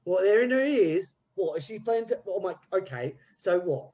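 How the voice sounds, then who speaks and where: A man's voice: high voice, lively intonation, moderate pace, warm tone, muffled recording, voice pushed up. A man doing a put-on British accent as a scheming petty crook in an improv scene, rambling with slang and restarts. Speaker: improv player.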